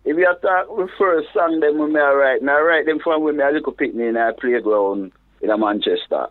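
A man from England with a Jamaican patois accent mixed with London speech, a reggae DJ chatting as an interview guest in a relaxed, halting way. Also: mid-range voice. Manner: relaxed, halting, restarts phrases. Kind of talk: casual interview chat. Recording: phone-like narrow sound.

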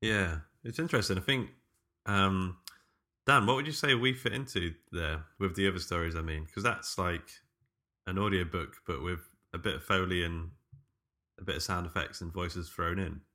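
A man hosts in a casual, conversational style. His voice is very low, yet bright and forceful in tone. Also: fairly even intonation, normal speed. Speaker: podcast host. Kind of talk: casual discussion.

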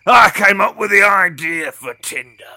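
A boastful old tycoon who fancies himself a love guru. The voice is sharp and forceful, swooping theatrically and pompously, in a radio comedy. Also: male voice, high-pitched, expressive, moderate pace, dry recording, full character voice. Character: wheedling, boastful old media-mogul man, self-styled love guru.

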